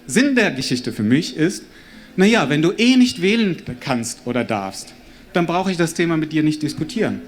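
Animated man performing to a crowd. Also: normal speed. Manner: animated, addresses the crowd directly, swings pitch widely. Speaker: stage performer. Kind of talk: spoken word performance with audience. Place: stage before a live audience.

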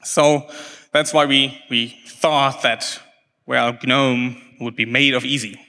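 A man whose first language is German gives a conference talk, stopping and restarting often, with plenty of fillers. His voice is bright and forceful. The sound carries a long hall reverb. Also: mid-range voice, steady tone. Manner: halting, many restarts and fillers. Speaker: conference speaker.

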